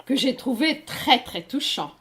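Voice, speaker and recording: A woman's voice: high-pitched, lively intonation, measured pace, a bit bright. A woman hosting a radio book interview, engaged and speaking directly to her listeners. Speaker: radio show host.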